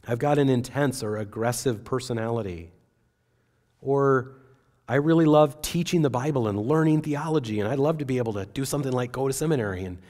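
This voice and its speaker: A man in his forties, a pastor, preaching an earnest, measured sermon from a pulpit on a church stage. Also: mid-range voice, darkish tone.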